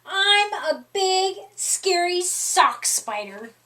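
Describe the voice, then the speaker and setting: A high-pitched, bright young boy cat from a storybook , played by a woman reader. He is excitable and easily scared but longs to frighten others. He exclaims and sighs dramatically, at an unhurried pace. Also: recorded from a distance, exaggerated voice. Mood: excited, showing off.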